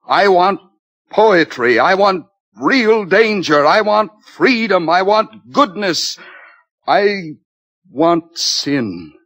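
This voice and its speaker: An actor playing an earnest, defiant young male outsider in a 1950s radio drama, impassioned and deliberate. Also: high-pitched, animated, a bit bright, no room sound, heavily acted. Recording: dull vintage 1950s radio recording.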